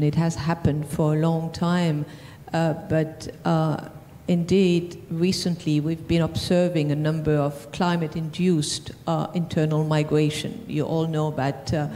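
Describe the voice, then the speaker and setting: Woman panelist, french accent, lowish mellow voice, long hall reverb. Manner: measured, with frequent ums and restarts. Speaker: panelist from a regional organization. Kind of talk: conference panel answer. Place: large conference hall. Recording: distant hall reverb, faint noise.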